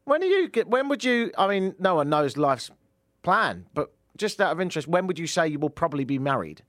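A man's voice: fairly high pitch, sing-song, brisk, fairly bright tone, dry recording. A man hosting a comedic radio show, rambling through sardonic asides into a studio mic. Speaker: radio host.

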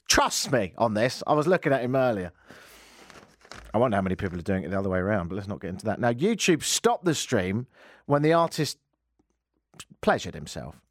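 A man's voice: on the high side, no echo. A man hosting a comedic radio show, rambling through sardonic asides into a studio mic. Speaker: radio host.

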